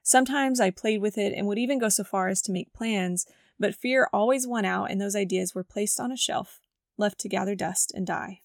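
A woman's voice: mid-range voice, normal speed, vocal fry, no echo, clean studio sound. Scratchy-voiced woman hosting a devotional podcast. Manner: earnest, reflective storytelling. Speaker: podcast host. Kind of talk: scripted devotional podcast narration.

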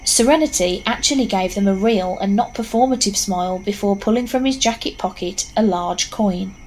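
A woman's voice: medium pitch, moderate pace, darkish tone, a little hiss or hum, lightly acted, rough recording. A woman narrating a horror audio drama as a wry storyteller. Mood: suspenseful. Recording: faint outdoor ambience behind.

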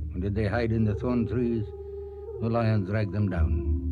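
A man giving dramatic scripted radio narration in a grave, measured, theatrical manner. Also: medium pitch. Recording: muffled radio recording, loud music behind.

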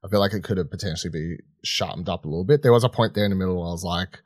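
A man's voice: low-pitched, very fast, a little mellow, dry recording, studio quality. A man co-hosting a podcast, giving casual, unscripted film opinions in a rambling, conversational way with some swearing. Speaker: podcast co-host reviewing films and series.

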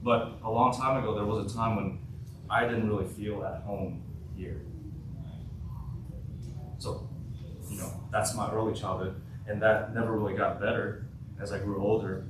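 A man in his twenties from South Korea, a native Korean speaker, talks to a youth group in a loose, chatty way and keeps checking in with them. His voice sits a little low and reaches the mic from some distance. The large hall around him rings with strong echo, and a clear room hum runs underneath. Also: steady tone, moderate pace, long pauses. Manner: conversational, asks the audience many questions. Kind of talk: youth workshop talk. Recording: echoey hall, voice from far off.

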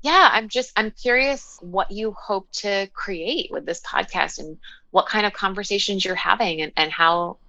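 A woman speaking as a guest coach in a reflective, conversational interview, often halting as she thinks aloud. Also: medium pitch, sharp tone, no echo. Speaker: podcast guest, dating and relationship coach.

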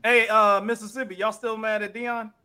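A man's voice: high-pitched, little pitch movement, moderate pace, pushed and bright, clear, resonant tone. A man hosting a podcast, chatting casually in group banter.

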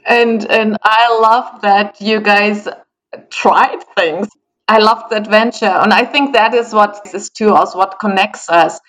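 A middle-aged woman with a German accent, a native German speaker, talks as an interview guest. She speaks in a measured way and tells stories from her own life. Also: medium pitch, steady tone, a bit bright, rough recording. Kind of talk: conversational interview.

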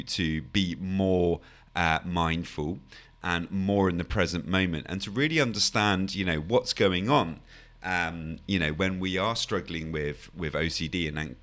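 A man with a deep, low-set voice that sounds croaky and a little hoarse today. He explains things calmly and steadily as a podcast host, often adding "you know" and restarting phrases. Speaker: podcast host and anxiety coach. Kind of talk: solo podcast talk.

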